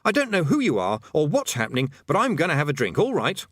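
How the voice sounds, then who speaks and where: A man voicing a cowardly, nervous male wizard who talks in a hurried, sarcastic, evasive way. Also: fairly high pitch, huge pitch swings, a bit bright, husky, no room sound, clean studio sound, pitched above the performer's own voice, heavily acted. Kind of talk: audiobook character voice.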